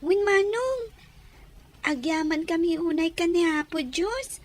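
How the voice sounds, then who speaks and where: A woman's voice: extremely high pitch, slow. A woman playing a sweet, grateful, deferential young woman in an audio drama. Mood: grateful, gentle. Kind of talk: Ilocano audio drama acting.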